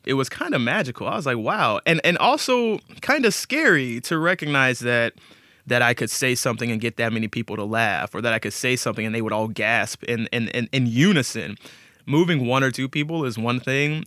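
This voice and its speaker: A man's voice: medium pitch, bright, forceful tone, no room sound, studio quality. A man talking casually and expressively as an interview guest, acting out bits of quoted speech. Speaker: storyteller being interviewed.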